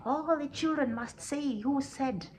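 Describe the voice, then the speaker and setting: A woman's voice: high voice, a little slow, voice pushed up. A woman storyteller voicing a pompous, blustering tyrant king who commands and justifies himself. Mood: outraged. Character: pompous, outraged tyrant king with a big belly.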